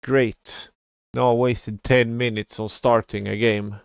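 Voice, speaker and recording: A man's voice: medium pitch, level tone, takes it slowly, creaky, smooth, no room sound, clean studio sound. A man giving casual live game commentary as a streamer, muttering in a mildly exasperated way. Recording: game music behind the voice. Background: video game music.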